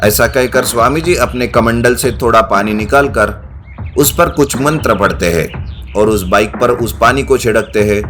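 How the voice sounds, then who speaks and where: A man's voice: mid-range voice, normal speed, a bit bright, a little hiss or hum. A man reading a scripted children's-style moral story as the storyteller.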